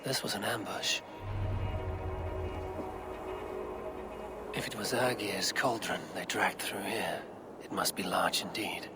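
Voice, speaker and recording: An actor playing a self-assured, weathered Norse god in a video game, musing aloud in a breathy, measured, solemn way. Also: male voice, lowish voice, loud music behind the voice, natural voice. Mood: musing, hushed. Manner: hushed, measured, archaic solemn phrasing. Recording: loud game ambience behind the voice.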